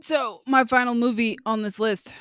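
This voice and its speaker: A man's voice: extremely high pitch, steady tone, normal speed, sharp tone, clean tone, no room sound, studio quality. A man co-hosting a casual movie review podcast, enthusiastic and emphatic. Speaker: podcast co-host.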